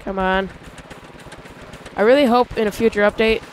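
A man hosting a casual gameplay video, talking through how he builds a vehicle, with excited yelps when he drives it. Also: high voice, normal speed, slight background noise. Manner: chatty, explains while building, excited yelps when driving. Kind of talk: casual let's-play commentary.

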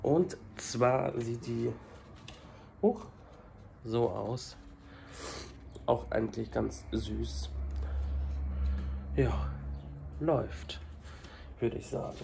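A young man vlogging casually at home with a cold, talking haltingly with many fillers into a phone held at arm's length. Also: medium pitch, gravelly, dark tone, a little hiss or hum. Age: young adult. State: stuffy with a cold, coughing. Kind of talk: casual daily vlog to camera. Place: small home kitchen and flat indoors. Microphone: handheld phone at arm's length.

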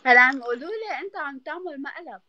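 A woman's voice: high-pitched, lively intonation, rapid-fire, highs cut off, background noise. A young woman with a Levantine accent, chatting casually on a phone video call. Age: young adult. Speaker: video chat guest. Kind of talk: casual chat. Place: dark room indoors.

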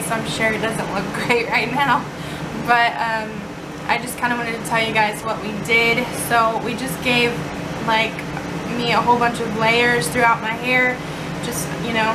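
A young woman in her twenties chatting casually to the camera as a vlogger, relaxed and full of ums. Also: a little high. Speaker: lifestyle vlogger. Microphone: camera mic, close framing. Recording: loud background noise, slightly distant mic.

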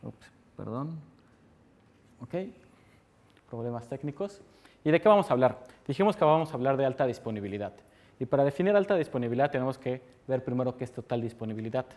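A Mexican man in his thirties speaks at a mid pitch, walking a seated audience through a technical topic. He poses questions and answers them himself. He is picked up in a lecture room from a distance. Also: lively intonation, fairly quick, long pauses, darkish tone. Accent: Mexican Spanish. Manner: explanatory, asks and answers his own questions. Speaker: conference speaker. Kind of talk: technical conference talk.

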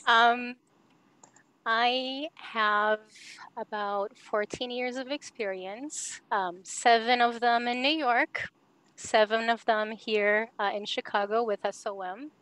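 A woman's voice: fairly high pitch, steady tone, slow pace, fairly bright tone, clear, resonant tone, no echo, rough recording. A woman in her thirties, originally from Brazil, speaking as a panelist on a video call, with many fillers. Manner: hesitant, many fillers, laughs easily. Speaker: panelist, architect. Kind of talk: casual panel talk. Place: home.

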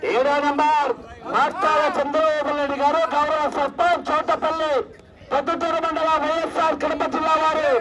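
A man giving loud, exclamatory live race commentary as an announcer, chanting repeated cries and calling out names. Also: very high voice, flat delivery, moderate pace. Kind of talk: excited live race commentary with shouted cheers and name announcements. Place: outdoors at a rural race ground. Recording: distant outdoor sound, loud crowd and vehicle noise. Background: loud crowd, traffic and outdoor noise.

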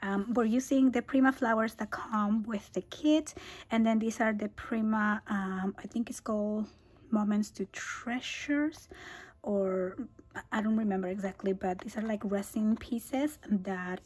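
A woman who is losing her voice talks through a handmade album at her craft table. Her tone is husky and breathy and often drops into a whisper. She keeps it casual and chatty, with small laughs between phrases. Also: mid-range voice, a little mellow. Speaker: scrapbooking channel host. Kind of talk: craft tutorial walkthrough.

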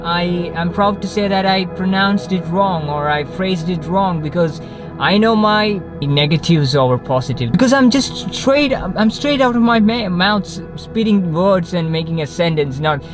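Young malayali dude vlogging from his car, slightly high voice, lots of restarts, music playing under him. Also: normal speed. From Kerala, India. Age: young adult. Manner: rambling, frequent restarts and self-corrections. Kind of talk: unscripted casual talk to camera. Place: inside a parked car. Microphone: camera on the dashboard facing him. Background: background music.

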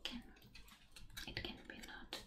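A woman who is a native Russian speaker whispers a fantasy ASMR roleplay in hushed short phrases, close to a binaural microphone. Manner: hushed, unhurried, gentle pauses between short phrases.

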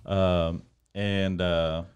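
A man's voice: low-pitched, flat delivery, extremely slow, noisy background. A man in his thirties, a guest on a podcast, talking in a laid-back way with plenty of fillers. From Texas. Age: 30s. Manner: laid-back, many fillers like uh and you know. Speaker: guest, business owner. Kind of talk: casual interview chat.

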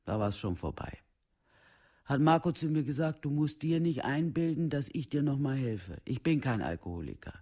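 Husky, mellow, worn-down family man. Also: medium pitch, expressive, moderate pace. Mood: earnest, hurt. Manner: earnest colloquial recounting with quoted dialogue. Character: worn-down, earnest relative of an alcoholic. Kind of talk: documentary radio play monologue.

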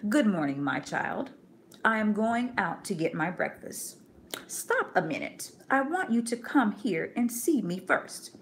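A woman reading as a gentle devout mother. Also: medium pitch, sing-song, measured pace, a little hiss or hum, pitched above the performer's own voice. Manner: soft, earnest, coaxing. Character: gentle devout mother teaching her little son.

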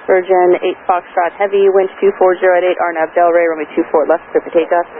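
A man working as an air traffic controller in a tower, giving brisk, procedural clipped instructions over aviation radio. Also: high-pitched, completely flat delivery, phone-quality sound. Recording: noisy band-limited aviation radio.

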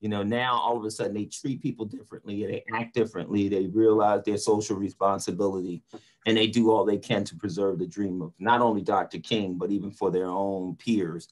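Older man, low voice, motivational speaker, earnest inspirational delivery, video call. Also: fairly even intonation, a little fast, darkish tone, poor audio. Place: indoor room on a video call.

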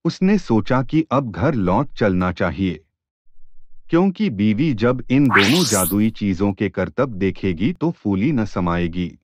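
A man's voice: on the low side, very animated, slow, no room sound, muffled recording. A man narrating a children's story from a script, as a storyteller.

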